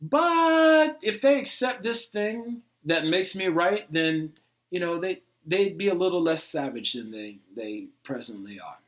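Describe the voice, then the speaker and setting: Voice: medium pitch, unhurried, natural voice. A performer playing an arrogant, self-righteous believer in a satirical dialogue, speaking with emphatic, impatient condescension and swearing. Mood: smug, dismissive.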